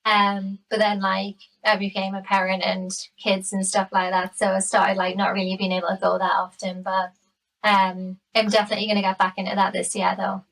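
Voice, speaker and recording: Chatty Geordie woman with an even pitch. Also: brisk, vocal fry, far from the mic, poor audio. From Newcastle area, England. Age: late 30s. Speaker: podcast guest. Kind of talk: casual chat.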